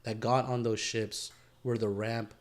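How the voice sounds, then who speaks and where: A man co-hosting a podcast, chatting casually in a slangy, profane, animated way. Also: mid-range voice, measured pace. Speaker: podcast co-host. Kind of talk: casual chat.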